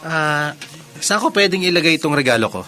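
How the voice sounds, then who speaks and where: An actor playing a friendly, mild-mannered bachelor in a radio drama, polite and slightly tentative, with a Filipino accent. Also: male voice, on the high side, lively intonation, brisk, pushed and bright, faint music behind. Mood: courteous. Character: courteous, eager bachelor architect, friendly and mild.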